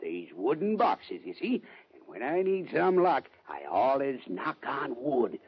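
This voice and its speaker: An actor playing a folksy, wily old cowboy sidekick in a western radio drama, drawling and teasing in folksy cowboy talk. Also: male voice, mid-range voice, sing-song, measured pace, slightly rough, exaggerated voice. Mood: sly, threatening. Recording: muffled, crackly old-time radio recording.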